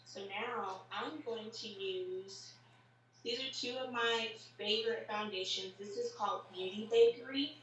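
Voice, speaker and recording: A woman with a slightly raspy, tired voice talks through a makeup tutorial in a loose, chatty way, full of fillers. She sounds set back from the mic in a very echoey room. A faint hiss sits underneath. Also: mid-range voice, unhurried, fairly bright tone, distant mic, lo-fi sound. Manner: chatty, filler-heavy, explaining as she works. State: tired voice after a workday. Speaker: makeup vlogger.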